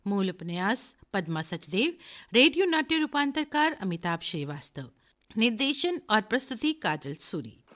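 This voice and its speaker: A woman's voice: mid-range voice, very animated, normal speed, a bit bright. A woman announcer reading scripted programme credits for a radio drama.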